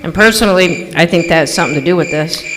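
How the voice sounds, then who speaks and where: Casting: man as chatty, ominous radio-play local, high voice, dramatic, echoing stage. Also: fast talker, bright, forceful tone, a little hiss or hum. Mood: ominous, excited. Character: chatty, mysterious local man warning of a ghost. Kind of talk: old-time radio acting on stage. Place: live stage in a museum courthouse. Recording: echoey hall, music behind.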